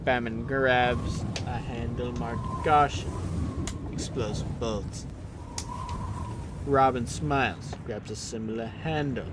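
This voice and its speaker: A man reads dramatic action narration for an audio drama. His mid-pitched voice is theatrical, delivering play-by-play over clearly audible background rumble and noise. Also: steady tone, slow pace. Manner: theatrical, present-tense play-by-play. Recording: noisy, vehicle rumble and ambience behind.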